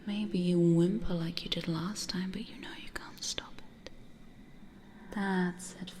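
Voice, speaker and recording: Woman acting slow, whispering, possessive vampire woman. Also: on the low side, a little hiss or hum. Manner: breathy whispering, slow, coaxing and domineering. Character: possessive, teasing female vampire hypnotist, predatory and coaxing. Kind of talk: ASMR roleplay. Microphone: close studio condenser microphone.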